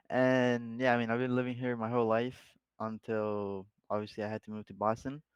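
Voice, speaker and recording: Young mexican guy, laid back, smooth lowish voice, dry sound. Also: flat delivery, moderate pace, no room sound, lo-fi sound. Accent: Mexican Spanish. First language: Spanish. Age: young adult. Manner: laid-back, laughs often, many fillers. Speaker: guest, esports player. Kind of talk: casual interview chat.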